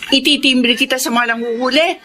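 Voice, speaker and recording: An actress in a radio drama playing a haughty, greedy warehouse owner who scolds and bullies. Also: female voice, high voice, fairly even intonation, fairly quick, bright, forceful tone, a little hiss or hum. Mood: scolding, threatening. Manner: scolding, domineering.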